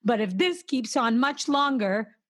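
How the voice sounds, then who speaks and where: A woman's voice: fairly high pitch, expressive, measured pace, a bit bright. A woman acting a nervous, demanding bedridden wife in a noir radio play, agitated, scolding and full of self-pity. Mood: indignant, complaining.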